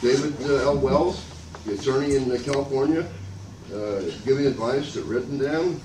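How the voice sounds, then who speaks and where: An older man speaking loudly and abrasively in a rambling public comment at a meeting. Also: normal speed. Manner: loud and booming, abrasive, rambling and aggrieved. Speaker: member of the public giving comment. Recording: echoey room from far off, noisy.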